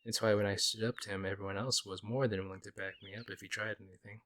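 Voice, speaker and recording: Casting: male actor as teasing, protective werewolf shifter, lowish voice, casual playful delivery, intimate audio roleplay. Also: level tone, fast talker, fairly bright tone, natural voice. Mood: reassuring, protective.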